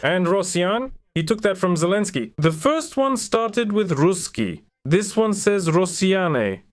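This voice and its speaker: A man's voice: sits high, sing-song, takes it slowly, no room sound. A man whose native languages are Ukrainian and Russian, hosting a sarcastic commentary video with mocking asides. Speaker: commentary channel host.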